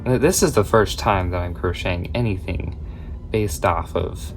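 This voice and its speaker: A man's voice: sits low, measured pace, gravelly, rough recording. Chatty young man over background music. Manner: chatty, rambling, drifts off topic. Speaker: crochet vlogger and small shop owner. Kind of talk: casual crochet tutorial chat.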